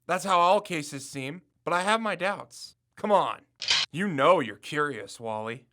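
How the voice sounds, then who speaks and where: A man's voice: fairly high pitch, animated, measured pace, noisy background. A young man playing an eager, confident college student turned amateur detective in an audio drama. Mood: persuasive. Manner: confident, insistent.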